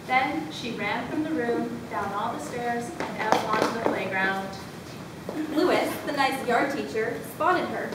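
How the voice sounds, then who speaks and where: A woman with a fairly high voice narrates a scripted children's story. She is heard at a distance in a heavily echoing room, with clear rumbling noise underneath. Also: a little slow. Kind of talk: scripted readers theatre storytelling. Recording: echoey room from afar, rumbling background noise.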